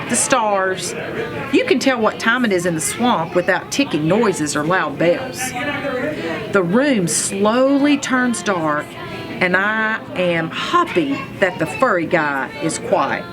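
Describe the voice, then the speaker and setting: A woman reading a children's storybook aloud in an expressive, animated storytelling manner, telling it as a first-person narrator. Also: medium pitch. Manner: animated storytelling with acted sound effects.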